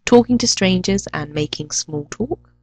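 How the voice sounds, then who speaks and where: English woman, 30s, teacher; low pitch, measured, clear delivery. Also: expressive, no echo. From England. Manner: clear, deliberate, lists items one by one. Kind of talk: self-introduction to a teaching channel.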